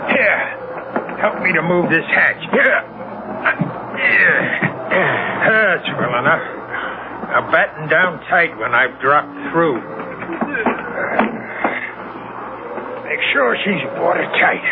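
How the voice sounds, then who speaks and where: A noisy, narrow-band old radio drama carries a jealous sea captain. His delivery swings widely, on a slightly high male voice. He is commanding, brooding and full of suspicion. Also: lively intonation, slow, long pauses. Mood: gruff, commanding. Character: jealous, menacing sea captain and possessive husband. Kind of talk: 1970s radio drama acting.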